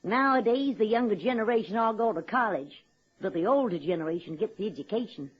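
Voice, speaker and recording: A woman's voice: mid-range voice, animated, fairly quick, slightly rough. An actress playing a blunt, folksy working-class woman running for office in a radio comedy. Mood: opinionated. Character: plain-spoken, folksy, feisty working-class woman candidate. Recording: dull lo-fi old radio recording.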